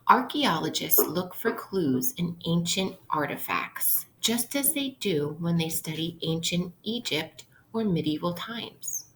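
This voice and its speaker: A woman with a slightly low voice reads aloud as a teacher would to young children. She takes her time, speaking very slowly and explaining in simple, instructional language. There is faint noise behind her. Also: animated, a bit bright, rough recording. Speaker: elementary school teacher. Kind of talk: interactive read-aloud lesson for children.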